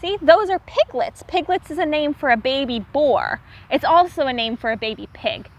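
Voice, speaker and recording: A woman teacher reading aloud to young children, pausing to explain words simply and repeating herself for clarity. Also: high-pitched, expressive.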